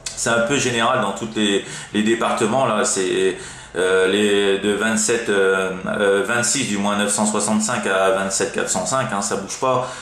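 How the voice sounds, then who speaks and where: A man from France with a fairly deep voice talks casually as a radio hobbyist. He rambles hesitantly, padding his phrases with little tags. The room echoes around him and a slight noise sits underneath. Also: fairly even intonation, measured pace, a bit bright. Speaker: CB radio operator. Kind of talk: casual amateur radio chat. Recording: roomy echo, faint background noise.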